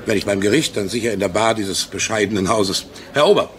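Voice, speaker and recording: A middle-aged salesman played by a male actor in a radio crime drama. His voice sits fairly low, and he talks in a forward, jovial, slightly crude way, like an old soldier sure of himself. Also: brisk, slight background noise, close to an everyday voice. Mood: jovial, pushy. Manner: chatty, forward, crude-tongued. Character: brash, jovial middle-aged canned-meat salesman and war veteran.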